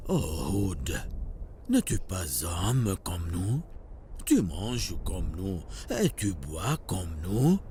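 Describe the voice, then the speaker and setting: An actor playing a doubtful pagan who challenges a prophet in a French cartoon, slow and challenging. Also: male voice, low-pitched, sing-song, dark tone, slight background noise, heavily acted. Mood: sceptical.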